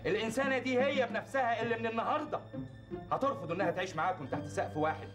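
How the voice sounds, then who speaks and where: An actor playing a defiant young Egyptian villager in a TV drama, high-pitched and quick, hurling threats at his enemies. A dramatic music score plays beneath him. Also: natural voice. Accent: Egyptian Arabic. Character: defiant young village man, proud and vengeful. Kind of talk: TV drama acting.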